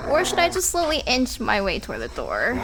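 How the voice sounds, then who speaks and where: A woman's voice: fairly high pitch, huge pitch swings, fairly quick, heavy background noise. A woman playing a nervous, wry visitor in an audio drama, rambling and hesitant. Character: nervous, wry human woman on a park tour. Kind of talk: fiction podcast audio drama acting.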